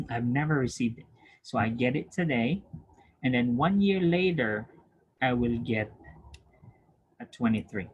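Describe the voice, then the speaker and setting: A man teaching a nursing class, explaining step by step, often checking that students follow and clearing his throat. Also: medium pitch, animated, unhurried, pauses often, low-quality recording. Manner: patient, repetitive, checks understanding with questions; frequent throat clearing and restarts. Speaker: nursing instructor. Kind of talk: recorded lecture over screen share.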